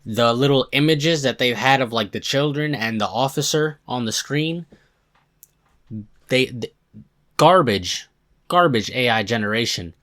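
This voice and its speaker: A man giving casual, slangy reaction commentary as a video host, sarcastic and quick to exclaim. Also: mid-range voice, a bit bright.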